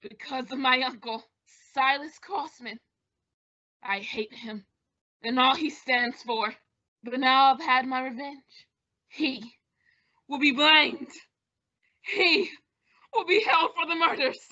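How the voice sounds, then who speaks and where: Woman playing a sharp-voiced, vengeful young male villain. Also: high voice, slow pace, long pauses, dull audio, heavily acted. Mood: bitter gloating. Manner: melodramatic, menacing, fervent. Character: deranged vengeful young man, murderous villain. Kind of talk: old-time radio drama acting.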